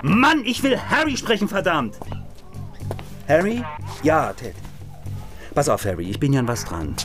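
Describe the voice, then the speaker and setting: A man's voice: on the high side, big pitch swings, a little slow, over music. A man acting in a German radio drama as a cynical, hot-tempered radio reporter in his thirties, pompous and given to coarse cursing. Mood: agitated, pleading. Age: 30s.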